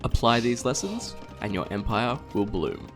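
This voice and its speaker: A man's voice: mid-range voice, very animated, moderate pace, vocal fry, music in the background. A man reading scripted tutorial narration for a strategy game, instructing step by step.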